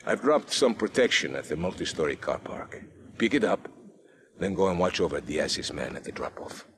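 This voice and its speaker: An actor playing a suave, courteous older Latin American colonel in a video game, with a Spanish accent and formal, theatrical phrasing. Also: male voice, sits low, lively intonation, normal speed, a little hiss or hum. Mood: courteous, businesslike. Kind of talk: video game cutscene acting.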